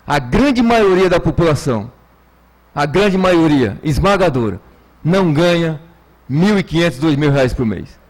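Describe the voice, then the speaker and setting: A Brazilian man speaks as a city councillor on the chamber floor. His voice sits a little high for a man. He is emphatic and oratorical, often repeating a phrase to drive it home. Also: animated, a little slow, a little mellow, rough recording. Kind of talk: political floor speech.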